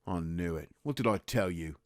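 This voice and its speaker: A man's voice: medium pitch, a little fast, no room sound, clean studio sound, lightly acted. Resentful young Cockney private, played by a man. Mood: grudging, subdued. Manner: bitter, mocking, blunt. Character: resentful working-class Cockney private, aged nineteen. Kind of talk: audio drama acting.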